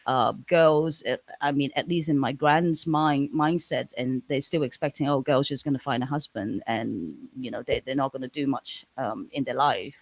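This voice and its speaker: A woman from Hong Kong, a native Cantonese speaker with a Hong Kong English accent, talking casually as an interview guest, pausing and restarting often. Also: very deep voice, no room sound. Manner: hesitant, many restarts and fillers.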